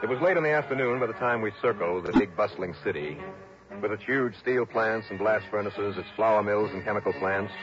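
An actor playing a confident, wry insurance investigator in an old radio drama, crisp and conversational. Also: male voice, on the low side, brisk, dull audio, close to an everyday voice. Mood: matter-of-fact. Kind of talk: old radio drama acting, first-person narration. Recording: band-limited old radio recording, music.

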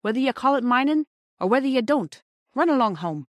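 A woman's voice: sits high, lively intonation, brisk, dry recording, pitched above the performer's own voice. A woman voicing a stern but kindly male station master who scolds in old-fashioned slang, in a storybook reading. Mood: gentler admonishing.

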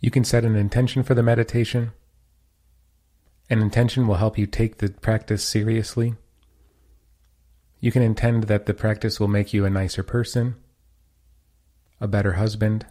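A man leading a guided meditation as a teacher, giving calm, soothing instructions. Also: on the low side, normal speed, long pauses.

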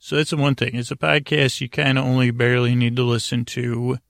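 A man hosting a sleep podcast in a low-key, meandering monologue, halting often and drifting off into digressions. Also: level tone, creaky, fairly bright tone, dry recording, clean studio sound. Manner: meandering, halting, frequently digressing and losing his thread; low-key, soothing, deliberately unengaging. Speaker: podcast host.

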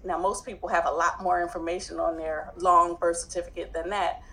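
A woman's voice: lowish voice, little pitch movement, a little fast, pushed and bright, low-quality recording. A woman from New Jersey with a slight Southern twang, a guest telling her story in an earnest, open way. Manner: earnest, open, laughs easily. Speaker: guest, educator and author. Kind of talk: interview storytelling.

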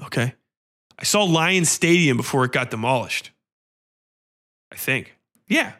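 A man's voice: mid-range voice, animated, a little slow, sharp tone. Male American podcast co-host, mid-range voice. Rambling, emphatic, freely swearing, casual retelling style. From United States. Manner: rambling, emphatic, acts out quoted dialogue. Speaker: podcast co-host reviewing films. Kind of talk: casual, profane film discussion and retelling.

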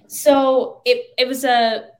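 A woman's voice: high voice, little pitch movement, slow. A woman in a casual podcast chat, explaining things in a slightly hesitant way. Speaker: podcast guest. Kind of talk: casual chat about video games.

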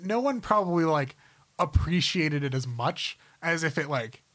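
A man's voice: fairly high pitch, lively intonation, normal speed, a bit bright, dry recording. A man co-hosting a podcast in casual, enthusiastic, rambling conversation, peppering his talk with "like.". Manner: enthusiastic, rambling, heavy use of "like". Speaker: podcast co-host. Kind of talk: casual chat about movies and games.

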